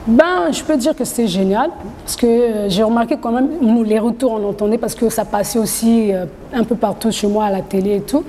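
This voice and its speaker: A woman from Congo-Brazzaville, chatting as an interviewed singer with hesitant, halting phrasing. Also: a little high, mellow. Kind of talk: casual interview chat. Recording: reverberant hall, background noise.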